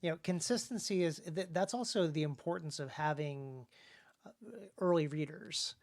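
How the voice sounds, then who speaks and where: A man hosting a casual discussion about craft, speaking haltingly and often repeating words as he thinks. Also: a little high, a little mellow. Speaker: podcast host and author.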